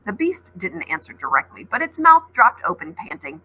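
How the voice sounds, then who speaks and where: Female teacher, dramatic read-aloud narration, medium pitch, bright and projected, slightly raspy. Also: very animated, moderate pace, rough recording. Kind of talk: dramatic story narration with asides.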